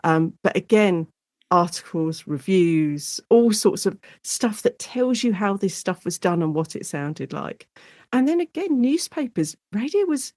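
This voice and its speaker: A woman's voice: mid-range voice, mellow, dry recording, studio quality. A British woman, a guest academic, explaining her research in an enthusiastic, chatty, conversational interview style. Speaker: guest academic specialising in audio drama.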